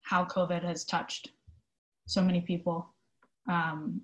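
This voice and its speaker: A woman's voice: fairly low pitch, little pitch movement, takes it slowly, fairly bright tone, low-quality recording. Reflective young woman in conversation. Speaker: theater company manager, interview guest. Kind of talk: conversational interview answers.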